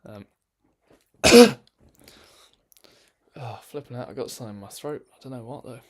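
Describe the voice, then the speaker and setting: A man's voice: mid-range voice, moderate pace, a little hiss or hum. A man chatting casually on a live stream from his bedroom, rambling with lots of ums and restarts.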